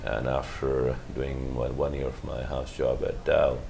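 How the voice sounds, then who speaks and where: Man, middle-aged, extremely low voice, heavy vocal fry, hesitant, slight hiss behind. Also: flat delivery, a little mellow. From Pakistan. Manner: halting, measured, many fillers and restarts. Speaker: guest, physician. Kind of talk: interview answers.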